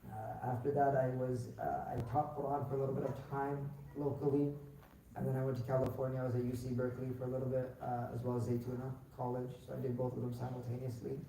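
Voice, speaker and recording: A young man born in Pakistan and raised in the United States answers interview questions about himself in a relaxed, rambling way, with many fillers and restarts. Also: medium pitch, fast talker. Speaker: imam candidate being interviewed. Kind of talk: casual spoken interview answers. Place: large echoey mosque hall. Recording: very echoey hall, distant mic, faint noise.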